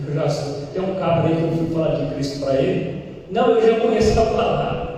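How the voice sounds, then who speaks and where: A man's voice: a little high, lively intonation, brisk, dark tone. A man from Brazil preaching a sermon as pastor, emphatic, rising to exclamations and acting out little dialogues. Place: large church hall. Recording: echoey church hall, mic far off.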